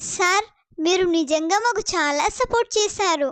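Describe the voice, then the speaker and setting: A woman voicing a bold, outspoken and boastful young girl in an animated children's story. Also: very high voice, moderate pace, no echo. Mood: sincere. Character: bold, feisty young girl, sporty conjoined twin.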